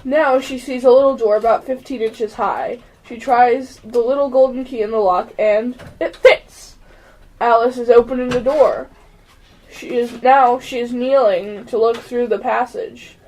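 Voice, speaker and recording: A woman's voice: a little high, steady tone, measured pace, a little mellow, low-quality recording. A female amateur announcer reading scripted running narration in a radio play.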